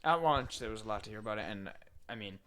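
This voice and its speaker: A man's voice: lowish voice, expressive, quick pace, fairly bright tone. A man co-hosting a podcast in casual, slangy conversation, thinking aloud as he goes. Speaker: podcast co-host. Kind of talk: casual chat about video games.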